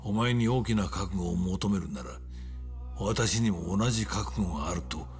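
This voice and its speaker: An actor playing an older, solemn, commanding crime patriarch in a game drama, speaking gravely and deliberately. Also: male voice, low-pitched, faint music behind. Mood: grave, controlled. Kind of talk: cinematic game drama acting.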